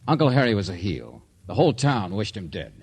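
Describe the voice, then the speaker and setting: An American man playing a tough, wry private investigator in old radio drama style, clipped and commanding, with a husky voice. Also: lowish voice, sing-song, fairly quick, a little mellow, full character voice. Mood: firm, explaining. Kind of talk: 1940s-50s American radio drama acting.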